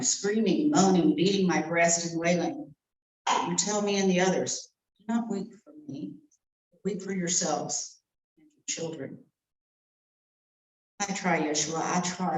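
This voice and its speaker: A grieving woman disciple mourning at the cross, acted by a woman, anguished and halting in her pleas. Her voice sits low and is heard from across an echoey room through a distant microphone, with faint background hiss. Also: natural voice. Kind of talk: live dramatic monologue.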